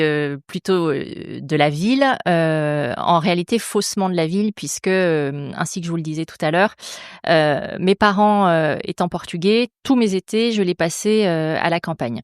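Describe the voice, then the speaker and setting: A woman's voice: on the low side, clear, resonant tone, no room sound, studio quality. A woman in her forties from Normandy, a public prosecutor speaking as a podcast guest, thoughtful and hesitant, with many fillers and restarts. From France, Normandy. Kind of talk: casual interview talk.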